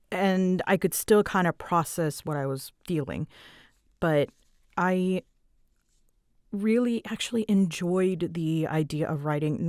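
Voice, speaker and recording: A low-pitched woman talks in a very dry, close recording. She rambles casually through answers for her audience, candid and halting, often interrupting her own sentences to find the right words. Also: no room sound. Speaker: channel creator answering audience questions.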